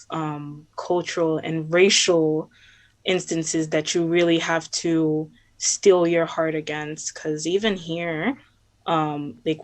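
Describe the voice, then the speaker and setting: A young woman who has studied abroad, speaking reflectively in a casual chat with drawn-out fillers. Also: sits low. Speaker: guest speaker, student abroad.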